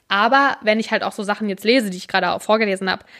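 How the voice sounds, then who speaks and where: A young woman in casual conversation, explaining at length and pausing often on fillers. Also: medium pitch, a bit bright. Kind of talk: casual chat about subtitles and series.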